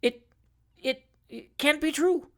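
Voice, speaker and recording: A reader voicing a pompous elderly gentleman who speaks in high, rising oratorical flourishes in an audiobook. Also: animated, moderate pace. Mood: shocked. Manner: high-pitched, oratorical, exclamatory. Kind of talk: audiobook character reading.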